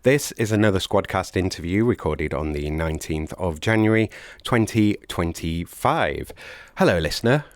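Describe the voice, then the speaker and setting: A man with a hoarse voice from a cold reading a podcast episode introduction with wry, dry humor. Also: sits low, animated, moderate pace, no echo. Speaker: podcast host.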